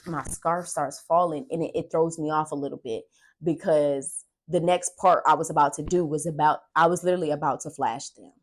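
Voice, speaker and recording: A young woman with a low-pitched voice hosts a casual, chatty vlog. She tells her story with dramatic emphasis, acting out bits of dialogue as she goes. Her delivery is animated and conversational. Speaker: podcast host and aspiring actress.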